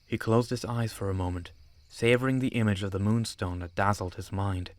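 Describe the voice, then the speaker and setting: A man's voice: fairly low pitch, a bit bright, dry recording. A man narrating an audiobook story from a script.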